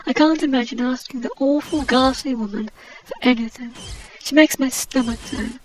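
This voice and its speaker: A woman putting on a pampered, high-voiced English adventuress who moans with grand indignation about every slight. She speaks in a snooty, theatrical way, full of disdain. A faint hiss sits under the recording. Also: normal speed, a little mellow, close to an everyday voice, low-quality recording. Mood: snobbish. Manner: indignant, snobbish, theatrical complaining. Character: aggrieved posh English woman adventurer, snobbish and melodramatic. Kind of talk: comic monologue in character.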